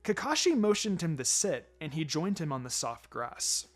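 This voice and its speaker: A man's voice: fairly high pitch, big pitch swings, normal speed. A man reading fan fiction aloud as scripted third-person narration. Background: background music.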